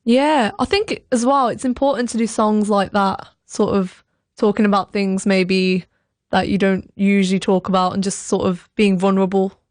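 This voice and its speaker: A woman's voice: mid-range voice, steady tone, moderate pace, no room sound. A young woman radio interviewer chatting casually with her guest.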